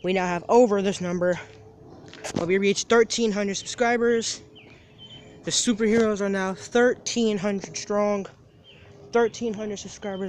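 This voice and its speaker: A high-voiced seventeen-year-old young man talks into a phone held at arm's length while standing outdoors. He rambles through a casual daily vlog, repeating phrases and restarting his sentences. Faint outdoor noise sits under his voice. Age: teens. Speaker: vlogger. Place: outdoors by a parking lot and lawn.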